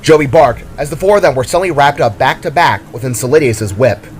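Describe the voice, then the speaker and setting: A man's voice: medium pitch, quick pace. A man reading scripted fantasy action as a dramatic story narrator.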